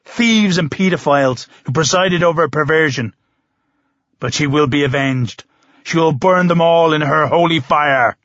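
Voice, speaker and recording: A man's voice: a little high, unhurried, a bit bright, no echo, pitched below the performer's own voice. A male reader plays a sinister druid from an audiobook. He speaks with grandiose, incantatory fervour and solemn bitterness. The sound is close and dry, slightly muffled. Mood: bitter, vengeful. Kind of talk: audiobook character reading.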